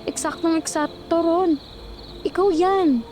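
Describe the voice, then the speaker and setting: A woman's voice: extremely high pitch, fairly even intonation, measured pace, darkish tone, slight background noise, voice pushed up. A woman acting an alarmed young female call center agent, agitated and exclaiming, in a horror audio drama. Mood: shocked.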